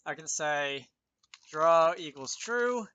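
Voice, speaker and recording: A man's voice: a little high, lively intonation, takes it slowly, sharp tone, clean tone, highs cut off. A man presenting an informal programming tutorial, thinking aloud with self-deprecating asides as he explains. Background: keyboard typing and mouse clicks.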